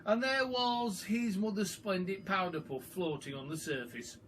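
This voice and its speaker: Male storyteller, children's book reading, high bright voice, unhurried, dramatic emphasis, slightly distant mic. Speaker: storyteller, primary school teacher. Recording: far from the mic, faint background noise.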